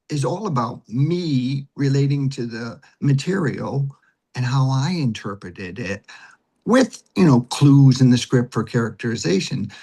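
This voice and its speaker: A man's voice: medium pitch, vocal fry, darkish tone, slightly rough. A man speaking conversationally in an interview as an acting teacher and director, with an emphatic, instructive manner that leans on repeated phrases.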